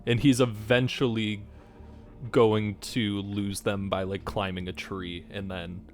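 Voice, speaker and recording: Low-voiced man playing a tabletop game. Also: little pitch movement, slow pace, faint music behind. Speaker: player in a tabletop game podcast. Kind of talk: casual game talk.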